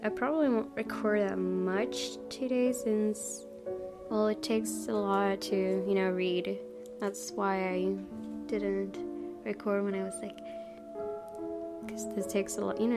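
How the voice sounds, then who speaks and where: A woman's voice: mid-range voice, little pitch movement, measured pace. A young woman hosting a let's play, chatting casually and reading game text aloud. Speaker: gaming video host. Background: clear game music.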